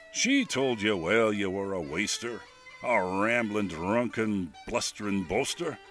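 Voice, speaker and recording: An older man with a rich, admired voice, reciting a poem as a guest reader in an expressive, lilting way. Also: fairly low pitch. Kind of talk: dramatic poetry reading. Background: faint bagpipe and whistle music.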